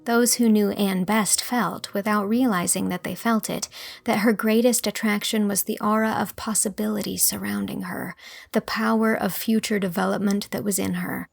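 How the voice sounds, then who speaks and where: A woman's voice: mid-range voice, steady tone, moderate pace, gravelly, no echo. A woman reading scripted literary narration as an audiobook narrator.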